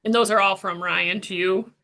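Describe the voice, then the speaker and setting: Woman, prosecuting attorney, bright mid-pitched voice, formal procedural courtroom questioning. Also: fairly even intonation, moderate pace.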